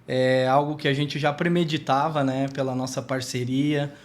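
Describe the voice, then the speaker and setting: A man, a business guest on a podcast, introducing himself in an earnest, measured, somewhat formal way. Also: mid-range voice, steady tone. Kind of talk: self-introduction in podcast interview.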